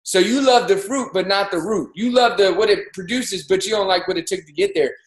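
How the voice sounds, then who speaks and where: A man in his thirties giving an energetic, preachy motivational talk as co-host of a livestreamed show, pushing his voice up on key points. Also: high voice, brisk, reverberant. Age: 30s. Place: home in Miami, livestreamed call. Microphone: video call.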